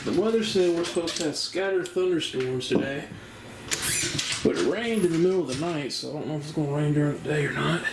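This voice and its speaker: A man vlogging casually at home, rambling in a relaxed way and talking quietly instead of projecting. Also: a little high, fast talker, vocal fry. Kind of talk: casual home vlog chat. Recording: noticeable background noise.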